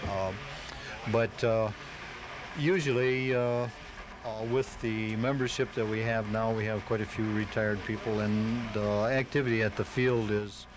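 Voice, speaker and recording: A man with a lowish voice answers interview questions as a club member. He explains haltingly, with many hesitations, over clear background noise on a home-taped live radio show. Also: steady tone.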